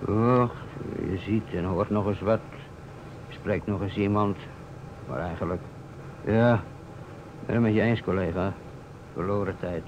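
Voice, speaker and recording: An actor playing a world-weary, talkative police inspector in a radio drama, wry and grandiloquent. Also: male voice, on the low side, measured pace, long pauses, close to an everyday voice. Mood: wry lament. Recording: muffled, noisy old radio recording.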